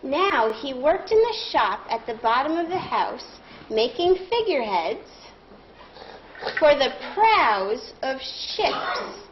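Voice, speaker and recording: A woman speaks in a high, very animated voice, guiding pupils through a reading lesson. She is encouraging and instructive, prompting them with questions. The sound is echoey and somewhat dull, as in a classroom. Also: slow, slight background noise. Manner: encouraging, instructive, thinking aloud. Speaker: elementary school teacher. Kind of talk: interactive read-aloud lesson, questioning pupils.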